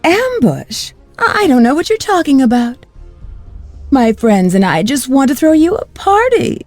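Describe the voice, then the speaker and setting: An actress playing a mocking, cruel seductress villain in a video game, with sultry, teasing delivery. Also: female voice, high voice, sing-song, unhurried, a little mellow, no echo, faint music behind. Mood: mock innocence. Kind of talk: dramatic video game acting.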